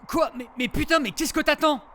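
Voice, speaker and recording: An actor playing a tense, protective forest ranger in a naturalistic audio drama, urgent and stammering. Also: male voice, very high-pitched, fairly quick, pushed and bright. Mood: alarmed. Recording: background noise under the voice.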